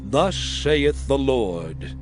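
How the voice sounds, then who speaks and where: A man's voice: mid-range voice, sing-song, extremely slow. A man playing a stern, fervent prophet who solemnly declaims divine commands in a dramatized scripture reading. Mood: stern, prophetic. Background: music.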